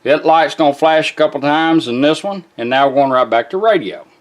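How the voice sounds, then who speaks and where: A man's voice: a little high, a little fast. A man giving a casual hands-on product demonstration in informal, folksy phrasing. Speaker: product demonstrator, car radio builder.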